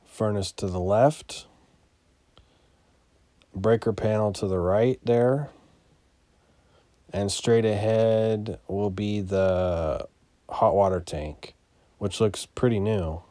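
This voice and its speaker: A man giving a casual, unscripted property walkthrough as a landlord, in a matter-of-fact, halting way that trails off. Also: fairly low pitch, steady tone, dark tone, no echo. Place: inside an empty mobile home, starting on the porch.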